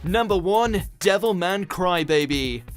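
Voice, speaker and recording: A man's voice: high voice, expressive, a little slow, over music. A man reading scripted narration as the narrator of a ranked countdown video.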